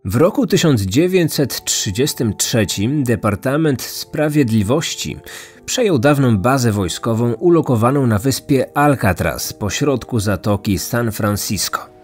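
A man narrating a scripted true-crime podcast as its host, measured and serious. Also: medium pitch, animated. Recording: music bed under voice.

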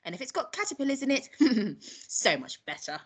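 A woman's voice: high voice, big pitch swings, normal speed, slightly rough, pitched above the performer's own voice, heavily acted. A woman voicing a wicked, snappish little old grandmother in a storybook, bossy, scolding and relishing nasty things.

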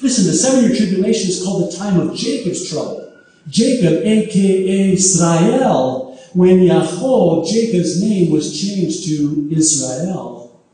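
A man preaching a sermon on prophecy whose first language is Arabic, speaking emphatically and at times rising to high exclamations. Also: expressive, a little slow, warm tone. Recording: echoey hall from far off.

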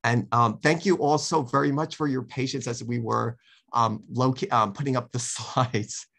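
A man delivers an academic presentation over a video call. His voice has noticeable fry, and his phrasing is halting and courteous, as if guiding listeners through slides. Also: mid-range voice, steady tone, fairly bright tone.

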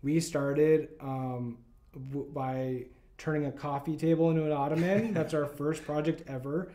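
Easygoing man, a touch higher voice. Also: fairly even intonation, a little slow, warm tone. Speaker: guest homeowner. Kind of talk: casual chat. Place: historic house parlor, indoors.

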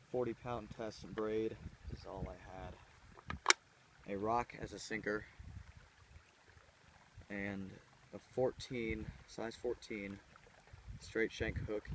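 A man's voice: lowish voice, flat delivery, takes it slowly, pauses often, background noise. Young man explaining by a creek. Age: young adult. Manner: plain, matter-of-fact explaining. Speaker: fishing vlogger. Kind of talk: casual how-to narration. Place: outdoors by a small country creek. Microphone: handheld camera close by. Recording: music bed under the voice.

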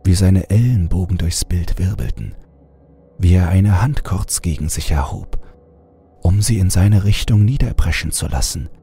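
A man reading a scripted horror story as narrator, measured and suspenseful. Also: sits low, mellow. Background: music.

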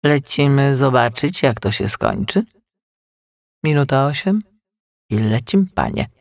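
A streetwise storybook seabird, acted by a male narrator, cheeky and full of suspicion. His gravelly voice swoops dramatically through slangy lines. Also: medium pitch, lively intonation, unhurried, dry recording, clean studio sound. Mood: eager.

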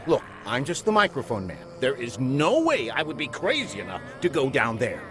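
A man's voice: medium pitch, huge pitch swings, moderate pace, soft background music. An actor playing a blunt, reluctant male sound technician in a comedy radio play. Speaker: microphone man. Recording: loud ambient vehicle noise behind.

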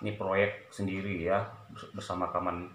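A middle-aged man chatting casually in a halting way, as a vlogger showing items in a small room at home. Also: low-pitched, little pitch movement, fairly bright tone. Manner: halting, repeats short phrases with frequent "ya" tags. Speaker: vlogger showing a cassette collection. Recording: echoey room, clear background noise.

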